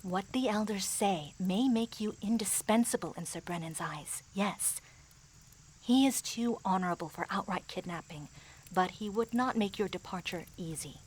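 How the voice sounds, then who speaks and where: A woman plays a solemn advisor from a fantasy realm. Her voice sits at a medium height with a husky grain. She chooses formal words and delivers them in an earnest, measured way, as in an audio drama. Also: mid-range voice, close to an everyday voice, poor audio. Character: grave female mystic advisor in a fantasy realm.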